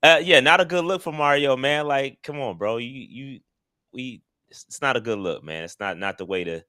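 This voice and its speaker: A man's voice: medium pitch, moderate pace, bright, forceful tone, no echo, studio quality. A man co-hosting a podcast, talking in an easygoing, slangy way. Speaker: podcast co-host. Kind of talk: casual podcast chat.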